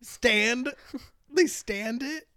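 A man's voice: extremely high pitch, very slow, bright, forceful tone, no room sound, clean studio sound. Playful, laughing male co-host. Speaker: podcast co-host. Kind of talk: casual chat.

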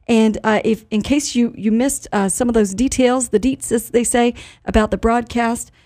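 A woman's voice: a little high, fairly even intonation, normal speed, warm tone, no room sound, clean studio sound. A woman hosting a local radio news talk show in a conversational, informal way.

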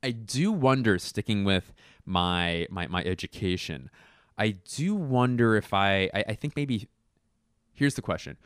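Man, very low voice, smooth and resonant, dry close sound, casual rambling chat, self-mocking. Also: expressive, a bit bright, no room sound. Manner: rambling, self-mocking, decisive-sounding, quick asides and restarts.

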